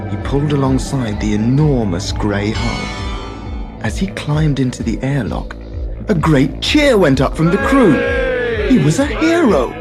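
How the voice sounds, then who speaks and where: A storyteller reading a children's tale as dramatic scripted narration. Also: medium pitch.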